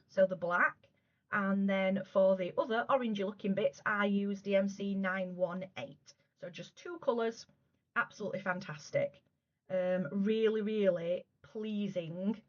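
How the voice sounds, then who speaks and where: A woman in her thirties, a crafting vlogger, chatting casually and gushing about her work, her voice going a little hoarse. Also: medium pitch. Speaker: cross stitch vlogger. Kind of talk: casual show-and-tell chat. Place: room at home. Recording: slightly dull lo-fi home recording.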